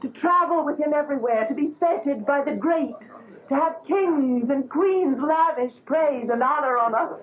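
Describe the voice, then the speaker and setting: A woman playing a bright eager young fiancée. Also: high-pitched, normal speed, lightly acted. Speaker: actor in radio drama. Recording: tinny old radio broadcast.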